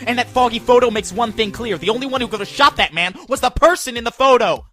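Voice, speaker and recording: An actor playing an anxious, earnest young male defense lawyer with an expressive voice in a fan audio drama. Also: extremely high pitch, fast, soft background music. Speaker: defense attorney.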